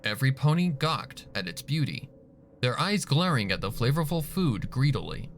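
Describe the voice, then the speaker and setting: A man's voice: mid-range voice, big pitch swings, moderate pace. A man reading a story aloud as scripted, dramatic narration. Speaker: fan fiction narrator. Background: background music.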